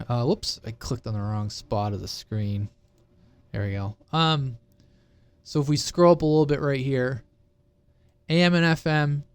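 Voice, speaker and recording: A man's voice: medium pitch, a little mellow, smooth, no echo. A man giving a casual, unscripted technical explanation in an informal, rambling way, circling back to repeat his main point. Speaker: presenter explaining radio technology. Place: indoors at a computer, sharing his screen.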